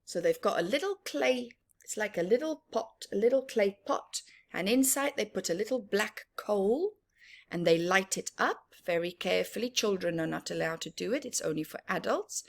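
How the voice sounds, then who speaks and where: A woman reading a picture book aloud to children in an expressive, teacherly way, adding simple explanations and questions for the listener. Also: mid-range voice, moderate pace, creaky. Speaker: children's story time reader.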